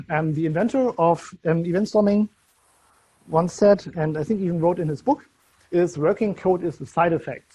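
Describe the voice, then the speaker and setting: German man with an accent, speaking English, meetup tech speaker, slightly high, warm dry voice, casual and halting. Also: slightly rough, no echo, rough recording. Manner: loose, searching delivery with frequent ums and restarts. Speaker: conference speaker.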